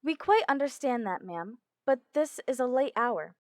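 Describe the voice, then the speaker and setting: A woman's voice: high-pitched, lively intonation, moderate pace. A woman playing a polite but officious, unbothered hospital receptionist in an old-time radio drama. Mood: politely officious. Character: brisk, unbothered hospital switchboard receptionist, female.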